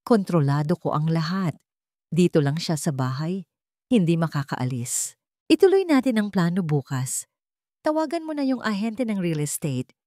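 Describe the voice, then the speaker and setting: A woman's voice: lowish voice, very animated, normal speed, mellow, no echo. Woman playing a villainous husband, Filipino accent, menacing, controlling, commanding, dry audiobook sound. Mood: smug, scheming. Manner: commanding, threatening.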